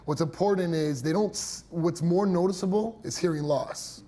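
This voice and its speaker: A man's voice: a little high, moderate pace, warm tone. A young man who works as a hearing care professional, explaining his clinic in a chatty way with many fillers and little laughs. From Italian heritage. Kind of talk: interview answers, explanatory.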